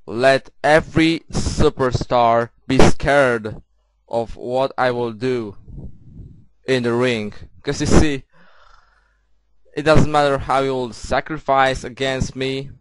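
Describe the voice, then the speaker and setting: A man with a thick Polish accent talks himself up in a slow, deliberate wrestling promo, full of confidence and repeated "you see"s. His voice is clean and smooth, recorded dry and close with no room sound at all. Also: medium pitch, no room sound, poor audio. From Poland.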